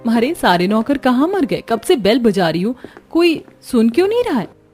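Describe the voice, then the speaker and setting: A woman's voice: a little high, big pitch swings, moderate pace, darkish tone, faint music behind. Woman acting a pampered, complaining young rich woman. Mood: bossy, impatient. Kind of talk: Hindi cartoon story voice acting.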